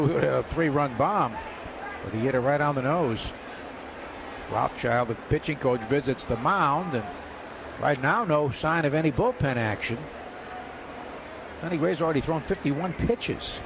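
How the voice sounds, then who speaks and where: A man's voice: a little high, lively intonation, moderate pace, vocal fry, long pauses. A man giving matter-of-fact baseball analysis on the radio from a ballpark booth. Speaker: color commentator. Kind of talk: radio baseball color commentary. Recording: muffled radio broadcast with crowd noise.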